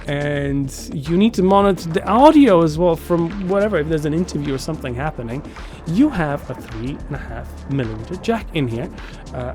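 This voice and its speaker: Higher-voiced man reviewing gear, halting delivery. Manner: halting, repeats words and phrases. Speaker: product reviewer. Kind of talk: casual unscripted gear review to camera.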